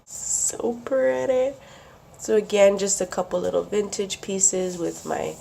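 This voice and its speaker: A woman's voice: mid-range voice, steady tone, slow, gravelly, dark tone, slight background noise, rough recording. A young woman who is losing her voice and sounds sick and sniffly, chatting casually and laughing often as she shows off her craft work. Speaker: craft vlogger. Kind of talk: casual show-and-tell.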